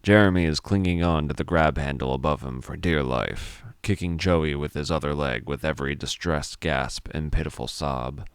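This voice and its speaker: Extremely deep, smooth male storyteller. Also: moderate pace, few pauses, dry recording, studio quality. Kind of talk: scripted dramatic story narration.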